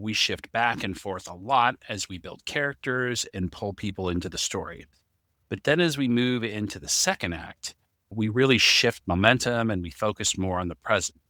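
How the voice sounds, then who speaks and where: A man's voice: fairly low pitch, sharp tone, no echo, studio quality. A man co-hosting a casual podcast discussion, talking as the writer of the story. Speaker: podcast co-host, story writer and amateur voice actor.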